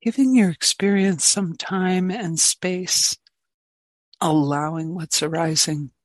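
A woman meditation teacher giving guided meditation in gentle, spacious phrases with long pauses between them. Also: fairly low pitch, no room sound.

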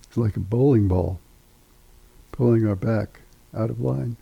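Very gravelly, slow older man. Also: on the low side, no echo, highs cut off. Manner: unhurried, halting, with long pauses. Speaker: meditation teacher. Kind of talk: guided meditation instruction.

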